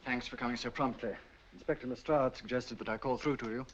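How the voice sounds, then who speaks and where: An actor plays a brisk, businesslike police inspector who gets straight to the point. He talks quickly at an ordinary pitch. The recording is dull and lo-fi, with a clear hiss underneath. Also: fairly even intonation, lightly acted. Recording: dull lo-fi 1940s film sound with hiss.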